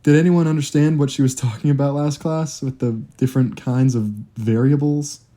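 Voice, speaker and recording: Audio drama: young man as conscientious student, tense about work, very mellow timbre, natural delivery. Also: fairly high pitch, steady tone, brisk, lightly acted. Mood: businesslike, stressed. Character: diligent, stressed male college student.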